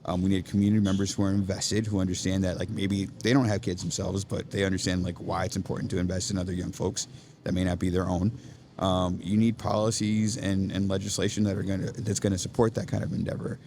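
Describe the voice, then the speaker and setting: A man's voice: low-pitched, level tone, fast, darkish tone, a little hiss or hum. A man being interviewed about education on a podcast, talking earnestly and checking in with "right?" as he thinks aloud. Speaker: podcast guest, education nonprofit leader. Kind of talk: casual interview chat. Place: coffee shop.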